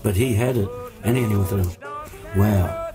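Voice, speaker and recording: A man's voice: lowish voice, moderate pace, music in the background. A man, born in Hungary, telling stories as a musician guest in a casual interview, rambling with many fillers and restarts.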